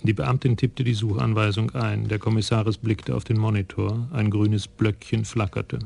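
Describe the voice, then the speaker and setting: A male narrator tells a radio crime story in a measured way. His voice is low and gravelly, with little melody, and a faint noise floor runs under it. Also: flat delivery, a little mellow.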